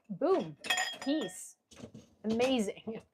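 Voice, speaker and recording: A woman's voice: a little high, very animated, drawn-out words, warm tone, slight background noise. A young woman from Ukraine with a Ukrainian accent, hosting a casual livestream, chatty with many fillers and restarts. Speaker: livestream host. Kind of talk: casual livestream chat reading viewer questions. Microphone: headphones.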